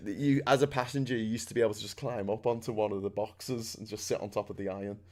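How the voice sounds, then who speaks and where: A middle-aged man with a Scouse accent, hosting a casual chat in a loud, excitable way and laughing often. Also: lowish voice, fast. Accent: Liverpool (Scouse). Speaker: host.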